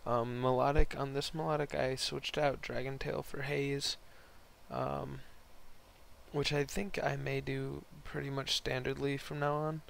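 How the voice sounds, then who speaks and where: A young man hosts casual game commentary in a hoarse voice with heavy creak and fry at a middling pitch. He rambles in a laid-back, slangy way as he talks through the battle. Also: little pitch movement, measured pace, a little hiss or hum. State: hoarse, voice partly lost from concert the night before. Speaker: host narrating online game battles.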